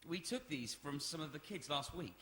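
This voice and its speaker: A man's voice: a little high, lively intonation, moderate pace, bright, forceful tone. An actor playing a nervous, placating young man in an audio drama, with hesitant starts. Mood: uneasy. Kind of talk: audio drama performed before a live audience.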